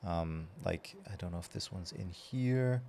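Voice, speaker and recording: A man, chatting casually on a livestream and answering viewers' questions while he works, relaxed and rambling with lots of fillers and restarts. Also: sits low, a little slow, gravelly, a little hiss or hum. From Belgium. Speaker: streamer answering chat.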